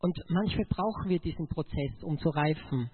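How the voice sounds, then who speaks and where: High-pitched Austrian man preaching, phone-like sound. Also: expressive, moderate pace, breathy edge. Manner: emotional, emphatic, addresses listeners directly. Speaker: motivational speaker giving a testimony.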